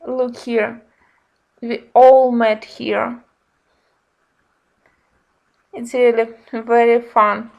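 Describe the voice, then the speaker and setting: A woman giving a casual review narration in simple, slightly halting non-native phrasing. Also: fairly high pitch, level tone, gravelly, lo-fi sound. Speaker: colouring book reviewer.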